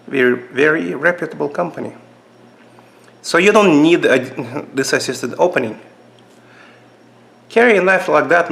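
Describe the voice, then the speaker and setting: A man with a Russian accent casually reviewing knives at a table for his channel, halting with many fillers and restarts. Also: mid-range voice, vocal fry, fairly bright tone. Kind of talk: casual knife review vlog. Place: indoors at a table.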